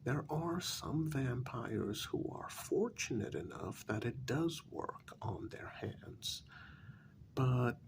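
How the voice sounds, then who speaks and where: A man playing an older, vain, sarcastic gentleman vampire in an ASMR role-play, speaking softly and breathily and dropping into whispers. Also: mid-range voice, lively intonation, gravelly, a little hiss or hum. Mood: patient, explaining. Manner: slow, deliberate, dryly condescending.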